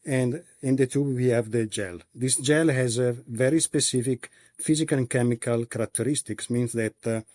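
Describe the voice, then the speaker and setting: A man from Italy with an Italian accent, answering interview questions as a company executive in a halting way with many pauses and restarts. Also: medium pitch, darkish tone, dry recording, studio quality.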